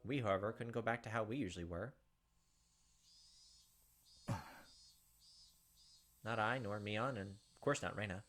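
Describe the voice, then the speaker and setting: A man's voice: lowish voice, measured pace, pauses often, a bit bright, a little hiss or hum. A man hosting a gaming video, reading visual novel narration and commenting casually.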